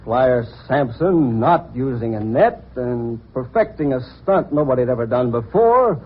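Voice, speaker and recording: Needling radio-play police inspector, mock-polite and sardonic, slightly husky, lo-fi sound. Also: male voice, mid-range voice, expressive, measured pace. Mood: probing, suspicious. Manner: smooth-talking, needling, mock-polite questioning. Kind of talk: old-time radio drama acting. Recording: dull lo-fi old radio recording.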